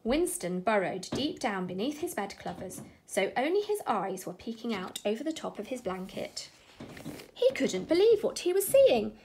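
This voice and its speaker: A woman reading a children's bedtime story aloud in an expressive narrating style. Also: fairly high pitch, moderate pace, a little hiss or hum.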